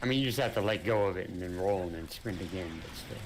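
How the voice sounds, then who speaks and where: A man's voice: low voice, fast. A man chatting casually while playing a co-op game, explaining his points with careful qualifiers. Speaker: co-op gaming partner. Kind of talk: casual gameplay chat. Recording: clear background noise.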